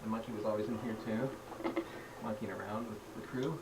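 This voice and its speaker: A middle-aged man showing his childhood toy scenes on home video, rambling and excited with frequent restarts. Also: lowish voice, fairly quick. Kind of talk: casual home-video show-and-tell. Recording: distant home-video mic with noise.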